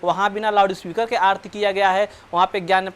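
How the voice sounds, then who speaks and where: A man reporting news live and explaining unscripted, in a halting way, often repeating and correcting his words. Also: high voice, little pitch movement, fairly bright tone, slight background noise.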